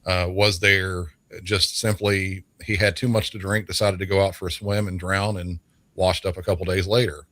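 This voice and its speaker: A man's voice: low-pitched, level tone, moderate pace, a bit bright. A middle-aged man speaking conversationally as an experienced emergency dispatcher giving his expert view in an interview. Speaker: 911 dispatcher as expert guest.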